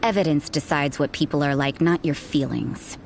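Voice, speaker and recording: A woman's voice: low voice, normal speed, gravelly, slight background noise. A woman playing a cool, sardonic, suspicious church sister in a video game, with a dry, cynical, interrogating manner. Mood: dry, taunting.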